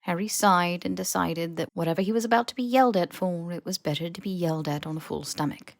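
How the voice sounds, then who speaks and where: A woman reading fiction as a scripted audiobook narration, in an expressive, wry storytelling manner. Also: lowish voice, fast, vocal fry, no room sound, studio quality. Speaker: podfic narrator.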